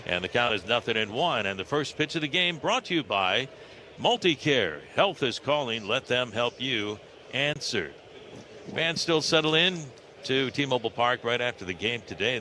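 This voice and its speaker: An American man calling live baseball play-by-play on the radio, with conversational, folksy asides between pitches. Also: very animated, moderate pace, sharp tone, slight background noise. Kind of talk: live sports commentary. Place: broadcast position at an open-roof ballpark.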